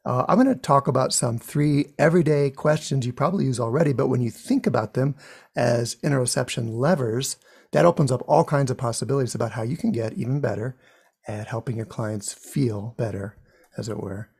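A man's voice: medium pitch, brisk, creaky, darkish tone, breathy edge. A middle-aged man talking earnestly straight to camera as a bodywork instructor, close to a studio mic in a small home room. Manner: earnest, conversational, with some restarts. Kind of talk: direct-to-camera promo talk. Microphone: large studio condenser mic up close.

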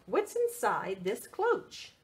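Female children's librarian for a picture-book read-aloud: higher register, highly animated, expressive, prompts little listeners with questions. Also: slow.

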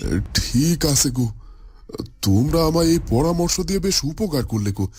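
A man's voice: fairly high pitch, animated, normal speed, darkish tone, a little hiss or hum, natural voice. A man playing a kindly middle-aged village merchant and father in a cartoon, amiable and folksy. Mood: thoughtful. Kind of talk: Bengali cartoon dialogue.

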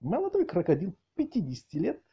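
A middle-aged man with a husky voice doing a mock-outraged comic rant, halting and repeating words as he exclaims. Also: high voice, wildly swooping pitch. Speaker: video channel host. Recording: dull lo-fi audio, faint music behind.

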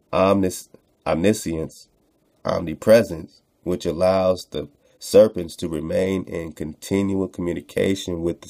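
A man's voice: low-pitched, mellow. A man reading aloud from a text and commenting on it as a show host, in a casual, slangy manner that repeats phrases for emphasis. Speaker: host of an online radio show.

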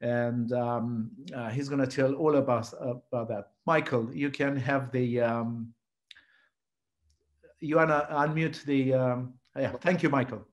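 A middle-aged man, hosting an online event and introducing a speaker in a hesitant, halting way. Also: medium pitch, steady tone. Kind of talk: unscripted introduction on a video call. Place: living room at home. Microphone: video call, seated at computer.

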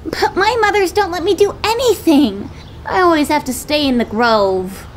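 Eager, very high-pitched lion cub. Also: female voice, normal speed, a little hiss or hum. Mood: chatty. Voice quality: small, childlike. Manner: excitable, innocent. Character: eager, playful young female lion cub. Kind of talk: comic dub character acting.

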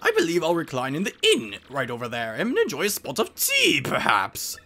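A pompous, elderly Toad steward from a video game. He is genteel and fretful, huffing through old-gentleman phrases with wildly swinging pitch. Soft music runs underneath. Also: huge pitch swings, moderate pace, heavily acted. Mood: relieved, genteel. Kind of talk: video game dialogue voice acting.

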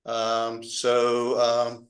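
A man's voice: mid-range voice, completely flat delivery, extremely slow, roomy sound. A man chairing an online meeting, casual and hesitant with many fillers, speaking over a video call. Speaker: meeting moderator.

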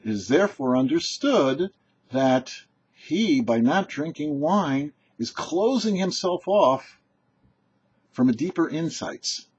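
An older man, a rabbi in his sixties, giving a short, deliberate religious lesson in an explanatory, didactic way. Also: a little high, expressive, a little mellow, breathy edge. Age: older, about 60s. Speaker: rabbi hosting a weekly Torah portion show. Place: indoor home office or study. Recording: muffled, dull webcam audio.

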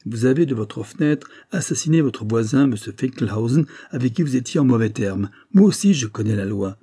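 A man's voice: mid-range voice, animated, fairly quick, warm tone, slightly rough, no room sound. Man playing a brusque, commanding police official. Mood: brusque, accusing. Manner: curt, commanding, dry. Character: brusque, self-important police secretary, male.

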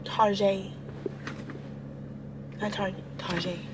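Woman in her twenties, casual vlog chat, informal and chatty, medium pitch, noisy camera audio. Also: little pitch movement, takes it slowly. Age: 20s. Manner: informal, chatty, addresses viewers as y'all. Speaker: vlogger. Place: parked car and a room at home, indoors. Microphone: camera at arm's length or propped close.

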